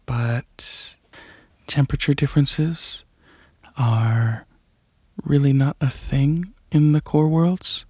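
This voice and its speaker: A man voices a shy alien dragon, gentle and curious, in a soft ASMR roleplay. The delivery is hesitant and earnest, with a fairly flat melody and a husky, breathy grain. The recording is very dry and close, a little muffled. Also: medium pitch, no room sound. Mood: reflective. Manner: hesitant, halting, softly earnest.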